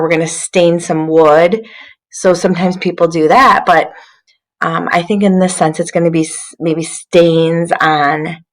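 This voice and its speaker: A woman literacy coach leading an interactive read-aloud for young children, instructive, prompting listeners with questions. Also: lowish voice.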